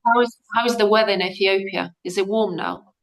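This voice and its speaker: A woman leading an online workshop over a video call, halting and full of fillers as she talks. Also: mid-range voice, fairly even intonation. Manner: halting, with frequent fillers and restarts. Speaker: workshop speaker.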